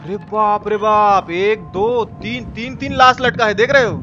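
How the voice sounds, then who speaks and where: A man playing a folksy, excitable Indian uncle with a Bihari Hindi accent, commenting on a game in crude, exclaiming slang for a live stream. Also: high voice, a little slow, highs cut off, noisy background. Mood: amazed, playful. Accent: eastern Hindi, Bihari. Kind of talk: live horror game commentary with chat replies.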